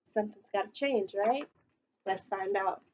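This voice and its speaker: A woman's voice: medium pitch, unhurried, darkish tone, dull audio, slight background noise. A young woman reading a picture book aloud like a teacher, dramatically stretching out words and putting questions to children. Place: small indoor playroom.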